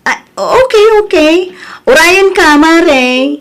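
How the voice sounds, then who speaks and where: A woman with an Ilocano accent playing an exasperated, defensive village hairdresser in an audio drama, with expressive exclamations. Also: extremely high pitch, slow, exaggerated voice. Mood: friendly. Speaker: drama voice actor.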